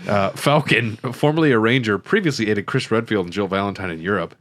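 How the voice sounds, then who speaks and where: A man's voice: on the low side, fairly quick, a bit bright. A man co-hosting a podcast, giving a casual comedic recap partly read from notes, with crude jokes. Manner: joking, crude humor. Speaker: podcast co-host.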